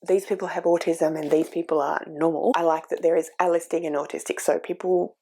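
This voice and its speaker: Sniffly, low, gravelly woman vlogger. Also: fairly even intonation, fairly quick, mellow. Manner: earnest, thinking aloud with fillers. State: sniffly with a cold. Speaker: book vlogger. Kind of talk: casual reading vlog chat.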